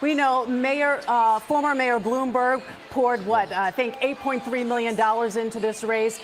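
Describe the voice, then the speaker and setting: A woman's voice: on the high side, steady tone, moderate pace. A woman anchoring live election coverage on television, talking through the news and putting questions.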